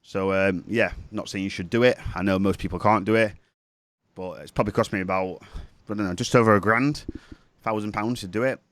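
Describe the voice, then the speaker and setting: A man's voice: fairly low pitch, fairly even intonation, fairly quick, a bit bright, no room sound. A man with a cold, chatting casually while giving a music production tutorial at his computer. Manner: chatty, rambling, self-correcting. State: has a cold, sniffly. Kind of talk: casual screen-share tutorial.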